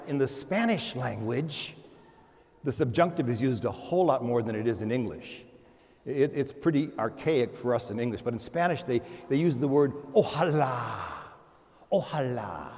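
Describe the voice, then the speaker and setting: A man giving a homily as a priest, animated, moving between loud exclamations and hushed murmurs, often repeating words and restarting in a conversational way. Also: on the high side. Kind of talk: sermon. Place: large church. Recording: long church reverb.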